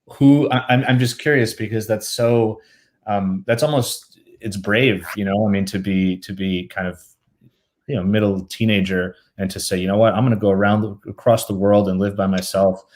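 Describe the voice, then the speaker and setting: A man hosts a podcast interview over a video call, with a somewhat low voice. He asks curious, halting questions, often restarting and repeating words before he lands on the point. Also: steady tone, darkish tone. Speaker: podcast host. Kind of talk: casual interview.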